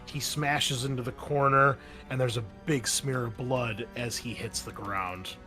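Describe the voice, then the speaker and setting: Male game master, mid-pitched, casual vivid combat narration, faint music underneath. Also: fairly even intonation, unhurried, pushed and bright.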